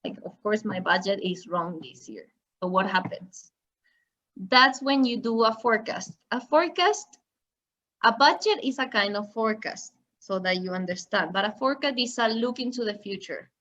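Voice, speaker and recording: A woman's voice: medium pitch, expressive, normal speed, a bit bright, lo-fi sound. Woman teaching finance over a call. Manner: explains step by step with examples. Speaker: finance trainer. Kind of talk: online masterclass lecture. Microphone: video call.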